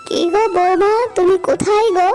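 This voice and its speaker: A woman's voice: very high-pitched, fairly even intonation, brisk, darkish tone. A woman voicing a frail, elderly, long-suffering village mother-in-law in a cartoon, weary and plaintive. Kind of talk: cartoon dialogue acting. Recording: music bed behind voice.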